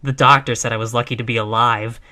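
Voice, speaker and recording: A woman's voice: very deep voice, steady tone, fast talker, bright, forceful tone. A woman playing a tough, reckless girlfriend in a roleplay audio, brash but affectionate, with casual, sweary bravado. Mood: boastful, casual. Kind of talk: intimate roleplay audio acting.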